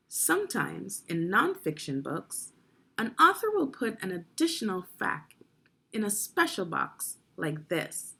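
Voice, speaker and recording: Woman doing a kids read-along, slow pace, bit of creak in the voice, teachery and asks questions. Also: a little high, a bit bright. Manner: instructive, addresses young listeners with questions. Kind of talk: children's nonfiction read-aloud with guiding questions.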